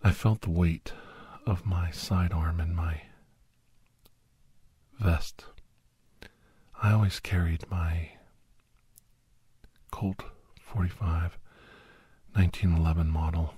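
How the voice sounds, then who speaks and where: A man playing a weary, confiding doctor who hunts shapeshifters, telling his story in a hushed, halting, breathy ASMR style. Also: deep voice, steady tone, dry recording, heavily acted. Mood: hushed, reminiscing. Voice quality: breathy, often whispered.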